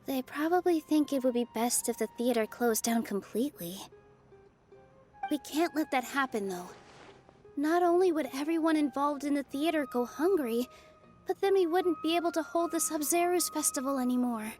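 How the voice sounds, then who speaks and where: A woman voicing a cheerful, earnest young female dancer in a video game, expressive and eager. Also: high voice, normal speed, soft background music. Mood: earnest, concerned. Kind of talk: video game character acting.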